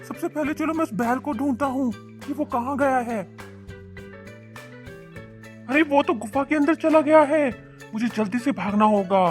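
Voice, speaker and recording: A man voicing an excitable fisherman husband in an animated cartoon, with exclamatory, breathy gasps. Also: very high voice, normal speed, long pauses, faint music behind. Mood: amazed, eager. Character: excitable, greedy but kindly fisherman husband.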